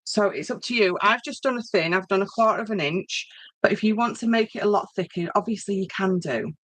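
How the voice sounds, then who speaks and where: A woman's voice: medium pitch, fairly quick, no room sound. A woman with a Yorkshire accent hosting an informal craft demonstration, chatting to viewers and thinking aloud as she works. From Yorkshire, northern England. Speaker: craft tutorial host.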